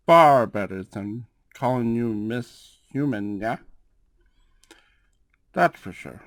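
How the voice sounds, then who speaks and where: A man's voice: medium pitch, lively intonation, slow pace, no echo, studio quality. A man playing a casual cat-person boss in an ASMR roleplay, often tagging his sentences with a questioning "yeah". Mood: courteous, inviting. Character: laid-back male cat-person gang boss, grumbly, courteous.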